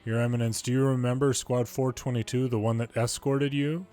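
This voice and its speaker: A man's voice: mid-range voice, flat delivery, brisk, dark tone. A man reading video game dialogue aloud in English as the narrator of a longplay.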